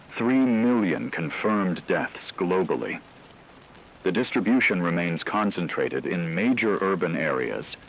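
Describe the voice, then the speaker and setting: A man playing a grave radio anchor, delivering a measured, analytical scripted emergency broadcast. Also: deep voice, slight background noise, heavily acted, poor audio. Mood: grave, factual. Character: grave male radio anchor reporting an apocalypse.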